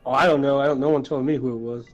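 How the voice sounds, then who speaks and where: A man's voice: mid-range voice, steady tone, very fast, muffled recording. A man playing a tabletop roleplaying game, chatting casually and speaking for his character. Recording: faint music behind the voice.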